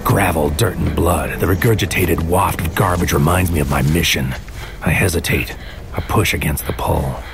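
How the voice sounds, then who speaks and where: A brooding infiltrator narrating a surreal noir audio drama. His voice sits very low, and he speaks in deliberate, clipped, poetic phrases. Music runs clearly underneath him. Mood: brooding, intense.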